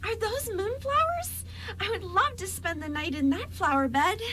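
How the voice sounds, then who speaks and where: A woman's voice: very high voice, expressive, moderate pace, bright, forceful tone, slight background noise, full character voice. A woman playing a tiny, polite, cheerful female fairy in an amateur radio drama. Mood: excited.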